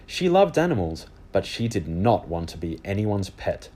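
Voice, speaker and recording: A man reading a children's book aloud as animated storybook narration. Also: sits low, moderate pace.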